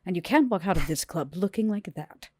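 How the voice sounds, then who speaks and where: A woman's voice: lowish voice, expressive, fairly quick, darkish tone, dry recording, studio quality. A woman playing a haughty, commanding female vampire with a cool, imperious, clipped manner. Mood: commanding, cold.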